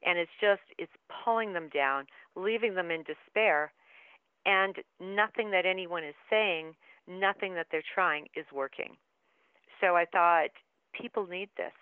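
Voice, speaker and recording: A woman's voice: on the low side, a little slow, clean tone, no echo. A woman author being interviewed over the phone, speaking earnestly and with emphasis. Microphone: telephone. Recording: tinny phone line.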